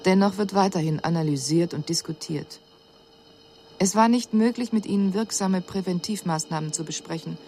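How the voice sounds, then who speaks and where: Woman playing low-voiced cool alien observer. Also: dark tone, a little hiss or hum, lightly acted. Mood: cool, concerned. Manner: measured, formal, precise. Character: female alien emissary, cool observer puzzled by human inaction. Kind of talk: scripted radio drama report.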